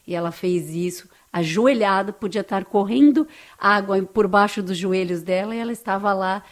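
A woman's voice: medium pitch, moderate pace. Effusive Brazilian woman telling her story. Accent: Brazilian Portuguese. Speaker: interview guest. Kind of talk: conversational personal storytelling.

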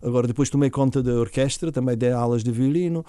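Man with a Bulgarian accent, middle-aged, quick halting speech, mellow dark tone, dry sound. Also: medium pitch, clear, resonant tone, dry recording. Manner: halting, with frequent restarts and fillers. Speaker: interview guest, violinist and orchestra founder. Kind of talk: casual interview storytelling.

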